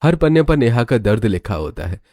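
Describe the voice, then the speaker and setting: A man's voice: mid-range voice, big pitch swings, a little fast, warm tone, dry recording, studio quality. A man reading scripted, dramatic narration for a cartoon story.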